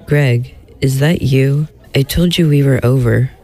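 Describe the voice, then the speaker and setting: An actor playing a flirty, exasperated bride in a comic radio play, with a dry manner. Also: male voice, mid-range voice, measured pace, a little mellow.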